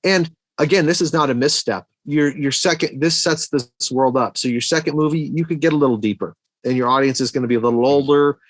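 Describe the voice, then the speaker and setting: A man's voice: a little high, brisk, few pauses, no room sound. A middle-aged man co-hosting a podcast, talking through film opinions casually and thinking aloud, often repeating words as he goes. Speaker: podcast co-host reviewing movies.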